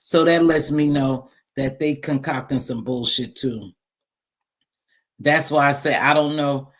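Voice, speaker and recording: Deep-voiced woman chatting bluntly with viewers. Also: a little slow. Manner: blunt, sassy, talks straight to viewers. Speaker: live stream host reading chat. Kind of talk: casual live stream chat.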